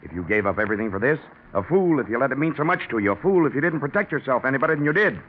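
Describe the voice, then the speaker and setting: An actor playing a big, bluff, ruthless business partner with a gloating, taunting manner in a 1940s radio drama. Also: male voice, mid-range voice, big pitch swings, rapid-fire, lightly acted. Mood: scornful. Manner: gloating, taunting, hard-headed. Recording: dull, noisy 1940s radio recording.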